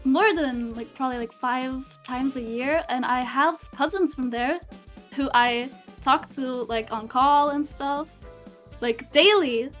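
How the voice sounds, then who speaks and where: A woman's voice: sits high, measured pace, fairly bright tone, faint music behind. A woman with a slight German accent, a guest in an online interview, answering hesitantly and rambling.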